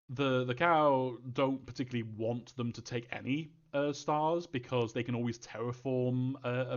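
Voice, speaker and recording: A man's voice: mid-range voice, lo-fi sound. A man answering fan questions as a game creator in a casual live Q&A, rambling hesitantly and thinking aloud as he goes.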